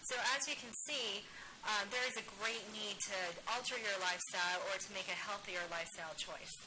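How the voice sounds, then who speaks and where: A woman presents in an upbeat, measured way, leaving room after each point. Her voice is mid-pitched for a woman, and clear background noise sits under the recording. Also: steady tone. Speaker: wellness product director presenting. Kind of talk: scripted presentation, paused for an interpreter. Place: studio set, seated.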